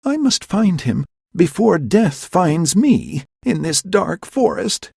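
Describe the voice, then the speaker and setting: A man voicing a frightened, fierce young woman with a breathy voice and high, shrill outcries. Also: huge pitch swings, a little slow, dry recording, clean studio sound, heavily acted. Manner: high, shrill cries of alarm and accusation.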